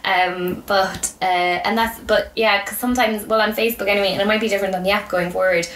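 An Irish woman talks casually on a podcast, slipping into imagined quotes as she goes. Her pitch is average, and she sounds a little away from the mic in an echoey room with faint noise. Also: steady tone, quick pace, a bit bright. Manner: chatty, often acts out imagined quotes. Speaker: podcast guest, community founder. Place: small indoor room with beanbags. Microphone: desk microphone. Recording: roomy, slightly distant, faint noise.

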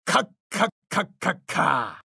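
An actor playing a hearty, muscle-proud warrior monk in a game, with booming, old-fashioned formal speech. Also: male voice, sing-song, measured pace, vocal fry, bright, forceful tone, breathy edge, no echo, clean studio sound, exaggerated voice. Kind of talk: Japanese game character acting.

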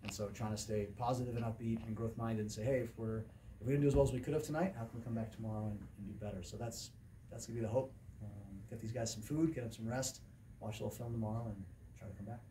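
A man's voice: on the low side, steady tone, fast talker, mellow. A middle-aged man, a volleyball coach, answering questions at a post-game press conference in an indoor room. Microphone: distant camera microphone. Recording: distant camera pickup with clear room noise.

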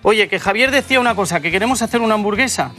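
Chatty TV chef, higher voice. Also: male voice, expressive, very fast, sharp tone, soft background music. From Spain. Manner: chatty, enthusiastic, explains as he cooks. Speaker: TV cooking show host. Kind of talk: cooking demonstration. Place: TV studio kitchen set.

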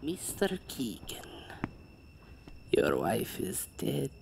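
An actress playing an eerie old woman who is a spirit medium, speaking slowly and ominously in an audio drama. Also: extremely low pitch, huge pitch swings, vocal fry, darkish tone, in a whisper, exaggerated voice. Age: older.

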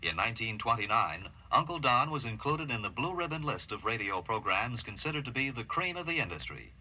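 A man's voice: mid-range voice, big pitch swings, fairly quick, bright, forceful tone, poor audio. A man reading scripted documentary narration.

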